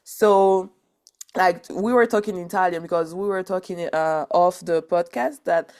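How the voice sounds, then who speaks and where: A woman from Nigeria hosting a podcast in casual chat, animated, laughing often and restarting her sentences. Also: fairly low pitch, unhurried. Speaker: podcast host.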